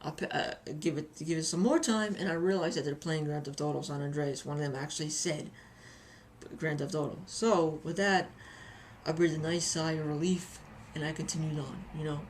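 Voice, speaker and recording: A woman with a slightly low voice talks through a casual vlog review. She is chatty and tells things like stories, doubling back on phrases for emphasis. A faint noisy room ambience sits behind her. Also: fairly even intonation, moderate pace. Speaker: hobbyist video reviewer.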